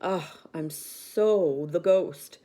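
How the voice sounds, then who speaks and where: A woman's voice: on the low side, warm tone. A woman voicing a young female storybook hyena, anxious and given to play-acting grandly. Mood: wry. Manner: play-acts a slow, grave ghost voice. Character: young female hyena, worried, bluffing as a solemn spirit.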